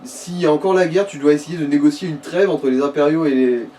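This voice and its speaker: A man's voice: fairly high pitch, fairly quick, warm tone. A man chatting casually as a gaming companion, explaining things in a rambling, sweary way. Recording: distant mic, faint noise.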